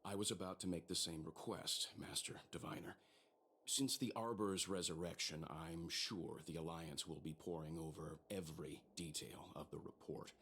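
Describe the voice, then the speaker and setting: A man playing a calm, courteous and cautious advisor in a video game, speaking formally and deliberately. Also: sits low, a little hiss or hum. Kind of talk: scripted video game dialogue.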